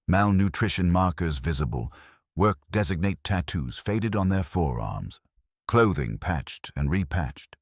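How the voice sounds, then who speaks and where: A man narrating a scripted dramatic story in a solemn, measured way, with short clipped statements. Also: deep voice, no room sound, studio quality.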